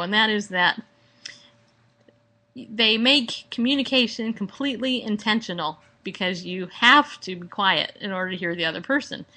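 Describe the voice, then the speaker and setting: A woman hosting a casual solo podcast at home, chatting in a playful, rambling, animated way. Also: medium pitch. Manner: playful, rambling, acts out mock exchanges. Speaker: podcast host. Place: at home, indoors.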